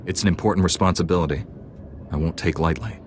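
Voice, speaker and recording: An actor playing a young, earnest and dutiful knight in a video game, speaking calmly. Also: male voice, deep voice, fast, slight background noise, close to an everyday voice. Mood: earnest, serious. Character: earnest, steady young male Jedi knight. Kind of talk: video game character acting. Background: music.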